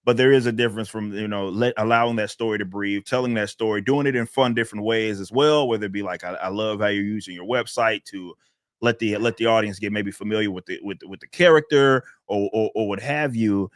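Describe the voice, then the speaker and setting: A man hosting a casual interview, rambling, with many halting restarts. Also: lowish voice, dry recording. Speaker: host.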